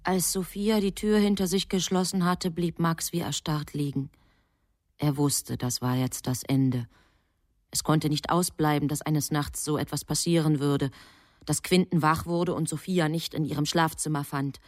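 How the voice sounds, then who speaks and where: A woman narrating a literary radio drama from a script in a composed, measured storytelling manner. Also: deep voice, steady tone, dry recording, studio quality.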